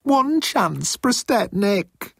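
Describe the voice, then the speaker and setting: A man's voice: high voice, big pitch swings, takes it slowly, creaky, breathy edge, dry recording, clean studio sound, voice pushed up, heavily acted. A man voicing a timid young alien crewman, anxious and miserable, in an audiobook story. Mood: pleading. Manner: miserable, anxious, blurting protests.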